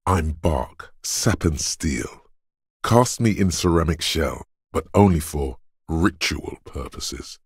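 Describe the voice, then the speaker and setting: An actor voicing the brooding inner voice of a detective's body in a video game, gravelly and husky, speaking gravely and deliberately with theatrical weight. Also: male voice, rumbling low, animated, dry recording, studio quality, exaggerated voice. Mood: dark, intense. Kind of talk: scripted game narration in second person.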